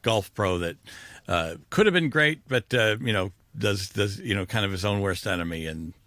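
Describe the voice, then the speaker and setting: Bright, low-voiced man on a film podcast. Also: expressive, normal speed, no room sound. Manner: rambling, self-interrupting. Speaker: podcast co-host. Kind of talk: casual film discussion.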